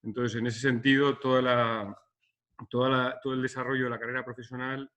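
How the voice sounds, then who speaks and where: A man's voice: mid-range voice, little pitch movement, fairly quick, fairly bright tone. A Basque architect in his fifties, speaking hesitantly with many restarts in a video-call interview. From Basque Country, Spain. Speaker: architect guest. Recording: dull lo-fi video-call audio.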